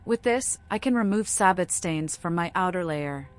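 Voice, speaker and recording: A woman's voice: on the low side, expressive, moderate pace, close to an everyday voice. Woman playing a mad, riddling lady vampire. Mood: gleeful. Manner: eccentric, whimsical, cryptic. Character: mad, riddling female vampire, playful and cryptic. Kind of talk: modded video game voice acting.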